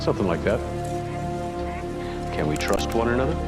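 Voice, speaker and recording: An actor playing a composed, persuasive government official in a video game, with formal, measured delivery. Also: male voice, on the low side, very animated, over loud music. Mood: earnest, persuasive.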